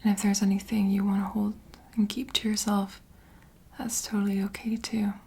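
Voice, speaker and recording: Woman, ASMR whisper, very airy, flat intonation, soothing, reassuring, unhurried roleplay delivery. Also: medium pitch. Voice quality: soft breathy whisper. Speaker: ASMR host. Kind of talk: whispered ASMR roleplay, gentle guided comfort.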